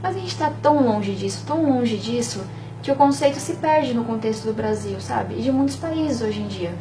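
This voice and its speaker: A young woman from Bahia in Brazil, talking in a casual interview, animated and often tagging on "sabe?". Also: a little high, far from the mic, background noise. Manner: fast, animated, peppered with "sabe?". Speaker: interview guest.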